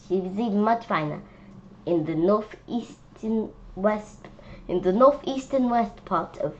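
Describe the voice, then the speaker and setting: A woman's voice: medium pitch, lo-fi sound. A woman reading a rhyming picture book aloud informally, often stumbling over words and repeating phrases. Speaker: children's book read-aloud reader.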